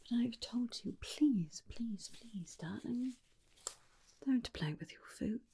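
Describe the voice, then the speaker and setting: A woman voicing a tender, protective, faintly menacing vampire companion in a soft, soothing ASMR roleplay whisper. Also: fairly high pitch, lively intonation, slight background noise. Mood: gently scolding. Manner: slow, soothing, coaxing with endearments. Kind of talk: ASMR roleplay, personal attention.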